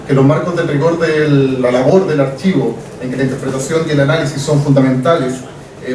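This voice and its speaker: A young Chilean man with a Chilean Spanish accent, a historian giving a formal talk from notes, measured and with frequent "eh" fillers. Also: medium pitch, noisy background. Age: young adult. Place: event hall. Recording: echoey hall, mic far off.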